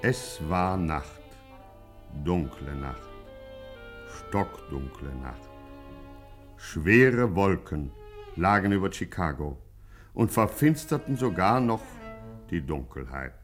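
A man playing the deadpan narrator of a 1950s German radio crime satire, measured and ironically suspenseful. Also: low-pitched, over loud music, exaggerated voice. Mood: mock suspense. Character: deadpan, mock-dramatic crime story narrator.

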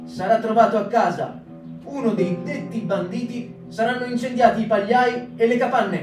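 A man's voice: very high voice, normal speed. A man voicing a stern wartime authority, reading decrees in a stage reading for radio, with music behind. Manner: stern official proclamation. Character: stern adult male voice of occupying authority. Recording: roomy, distant mic, music behind.

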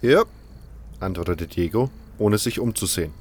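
A man's voice: fairly low pitch, moderate pace, a little mellow, soft background music. A man narrating a fantasy audio drama in the first person, reading from a script.

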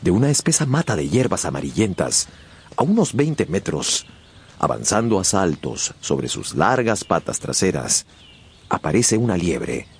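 A man narrating a radio novel in a dramatic, suspenseful style with measured phrasing. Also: deep voice, sing-song, breathy edge. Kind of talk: dramatic scripted audiobook narration.

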